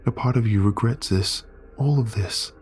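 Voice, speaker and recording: A man's voice: medium pitch, normal speed, a little mellow, a little hiss or hum, voice pushed up, close to an everyday voice. A man voicing an earnest teenage boy who pleads urgently with a friend. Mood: pleading.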